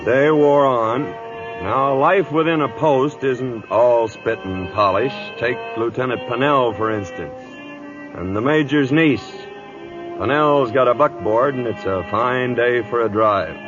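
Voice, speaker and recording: A man acting a gruff, dry-humoured veteran cavalry captain in an old-time radio drama, clipped, authoritative and wry. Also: medium pitch, measured pace, close to an everyday voice. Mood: wry narrating. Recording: dull vintage radio broadcast, music behind.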